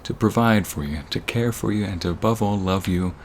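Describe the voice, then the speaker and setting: Man acting a very low-voiced, tender boyfriend. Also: fairly quick, slight background noise, lightly acted. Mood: earnest, pleading. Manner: intimate, earnest, often hushed and breathy. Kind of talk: ASMR romantic boyfriend roleplay.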